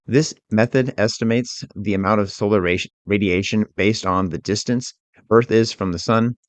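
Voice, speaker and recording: A man working as an instructor, narrating a software tutorial in a methodical, informal, step-by-step way. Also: sits low, no room sound.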